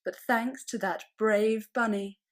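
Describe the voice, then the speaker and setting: A woman reading a children's story-poem in verse, theatrical and expressive, with hushed moments and dramatic swells. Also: on the high side, takes it slowly.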